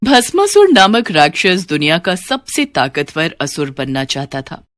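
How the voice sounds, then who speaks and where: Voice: mid-range voice, huge pitch swings, measured pace, a bit bright, dry recording, clean studio sound. A narrator reading a scripted devotional myth story.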